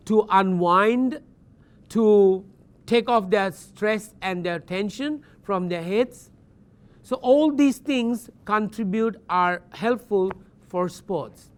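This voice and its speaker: English teacher, recorded lecture, patient, repetitive, spells words aloud, switches into Thai, gently encouraging. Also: mid-range voice. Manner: patient and repetitive, checks in with "Understand?"; switches between English and Thai mid-sentence. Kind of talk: recorded distance-learning lecture.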